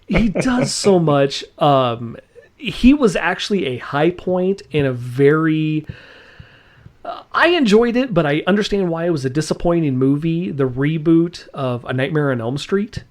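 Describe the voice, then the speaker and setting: Lively, slow-talking man chatting casually. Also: a little high. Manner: enthusiastic, rambling, laughs often. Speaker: podcast host. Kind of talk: casual podcast chat.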